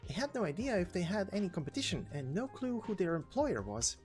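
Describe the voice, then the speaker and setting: A man's voice: high voice, very animated, brisk, soft background music. A man voicing a chatty, breezy, teasing informant in a game story reading, speaking casually with rhetorical questions. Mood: musing.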